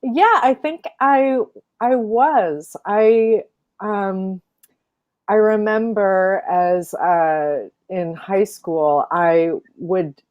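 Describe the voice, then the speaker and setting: A woman from Illinois, an opera singer, chatting as an interview guest over a video call, thoughtful with many fillers and soft laughs. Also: medium pitch, drawn-out words, lo-fi sound. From Illinois, USA. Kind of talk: casual interview chat.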